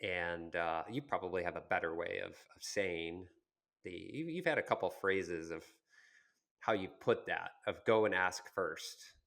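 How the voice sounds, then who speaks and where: A man with a low, deep voice talks as co-host of a business podcast. His delivery is conversational and explanatory, built around examples from experience. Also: measured pace, vocal fry, fairly bright tone. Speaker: podcast co-host discussing marketing.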